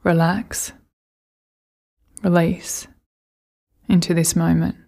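A woman speaks as a meditation guide in a fairly low register with a noticeable creak. Her intonation stays flat and steady. She gives soothing, gentle instructions, leaving unhurried pauses between phrases.

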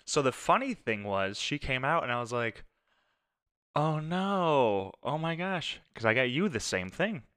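A man's voice: mid-range voice, big pitch swings, unhurried, fairly bright tone, clean tone, no echo, studio quality. A man co-hosting a podcast in casual chat, teasing and playful. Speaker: podcast co-host.

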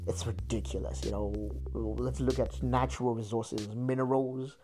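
A man's voice: medium pitch, steady tone, a little slow, over music. A man from Zimbabwe hosting a solo podcast, talking earnestly with many restarts and repeated words. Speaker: podcast host. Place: United Kingdom.

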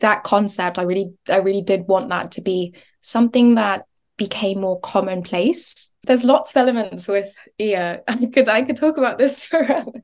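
A woman's voice: mid-range voice, moderate pace, darkish tone. A young woman from Nigeria talking chattily as a podcast guest, often laughing and restarting words. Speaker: guest, business founder. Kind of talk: podcast interview.

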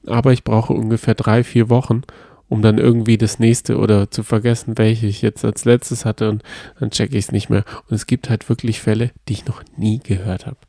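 A man talks casually as a podcast co-host, with gravelly fry in his voice and a mellow tone. The recording is close and dry, and he sounds like a keen fan in easy conversation. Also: on the low side, little pitch movement, moderate pace, dry recording.

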